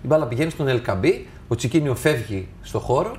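A man's voice: mid-range voice, very animated, noisy background. A man talking as a football analyst, walking through match clips step by step and addressing viewers. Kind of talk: tactical match analysis over video.

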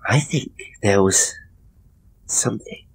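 A man voicing an ailing, frightened married woman who speaks in a halting, hushed way in a horror story. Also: on the low side, creaky, slightly rough, heavily acted, poor audio. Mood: hushed, uneasy.

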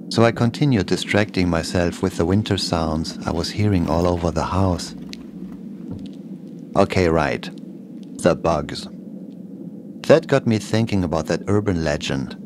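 A man's voice: low-pitched, background noise. A man narrating a scripted first-person horror story as a podcast host.

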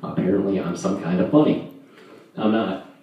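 A young man doing a casual amateur stand-up routine in a halting, rambling, self-deprecating and profane way. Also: low voice, fairly even intonation, muffled recording. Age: young adult. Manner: profane, vulgar. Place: indoors, small home room. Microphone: distant camera, handheld microphone in view. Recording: echoey room, far from camera.

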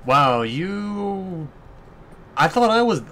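A man's voice: sits high, sing-song, drawn-out words, bright, forceful tone, a little hiss or hum. A man giving casual, joking, rambling reaction commentary as he plays through game cutscenes. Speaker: let's play commentator.

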